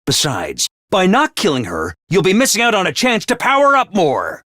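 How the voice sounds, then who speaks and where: A man voicing a brisk, ruthless academy commander in a video game, barking orders and announcements. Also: high-pitched, huge pitch swings, sharp tone, dry recording, full character voice. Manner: clipped orders and announcements, drill-sergeant bark. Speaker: voice actor in a game.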